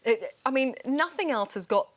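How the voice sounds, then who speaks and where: An Australian woman as a guest on a TV shopping show, explaining a skincare product in a calm, assured way. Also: high-pitched, normal speed.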